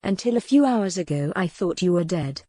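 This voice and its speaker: A woman's voice: fairly low pitch, animated, moderate pace, dark tone, no room sound. A woman voicing a kindly, worldly noblewoman in a historical audiobook, composed and reassuring with formal, wry phrasing. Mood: composed, affectionate.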